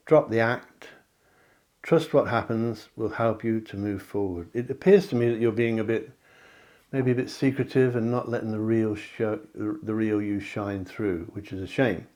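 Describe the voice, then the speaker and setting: A man's voice: on the low side, a little mellow. Chatty man giving a card reading. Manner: chatty, reassuring, with asides and self-promotion. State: slightly hoarse. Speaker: psychic reader. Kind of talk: tarot card reading to camera.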